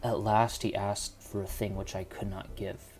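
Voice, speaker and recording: A man's voice: on the low side, flat delivery, normal speed, a little mellow, natural voice. A man playing an old, dying landowner in an audio drama, confessing in a solemn, formal, old-fashioned way, bitter and desperate. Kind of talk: amateur audio drama acting of a Victorian detective story. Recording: dramatic music under the voice.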